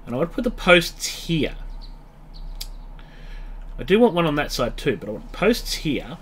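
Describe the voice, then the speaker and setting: A man's voice: fairly high pitch, very animated, normal speed, a bit bright, breathy edge. A man in his late thirties with a stuffy nose from a mild cold, thinking aloud as he talks viewers through a game. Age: late 30s. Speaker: let's play host. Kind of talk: casual gameplay commentary.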